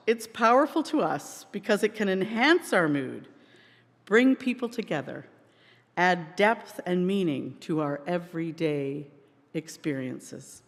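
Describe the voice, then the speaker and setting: A worship leader speaking to a congregation in an informal, expressive way during a church service. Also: mid-range voice. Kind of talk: church service address, prayer and personal anecdote. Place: indoors in a church. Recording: reverberant church hall.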